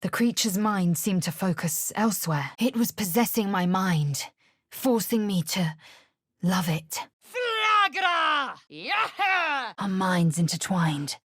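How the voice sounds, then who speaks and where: A woman playing a fierce, proud githyanki warrior in a video game, with a husky, raspy voice and a clipped, haughty, formal manner. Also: medium pitch, big pitch swings, slow, fairly bright tone, no echo, clean studio sound, exaggerated voice. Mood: fierce incantation. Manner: clipped, haughty, imperious, formal archaic phrasing.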